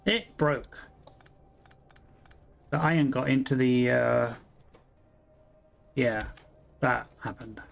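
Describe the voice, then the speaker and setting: A man's voice: medium pitch, slow pace, vocal fry, long pauses, lo-fi sound. A man from Wales with an English accent, chatting casually and swearing freely while playing a factory-building game, often putting himself down. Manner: swears freely, self-deprecating, muttering to himself. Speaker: game streamer. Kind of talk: casual gameplay commentary.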